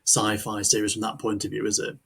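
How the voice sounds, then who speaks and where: A man's voice: lowish voice, monotone, fairly quick, vocal fry, a bit bright, slightly rough. A man hosting a podcast in casual interview style, informal and often tagging questions onto his statements. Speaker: podcast host.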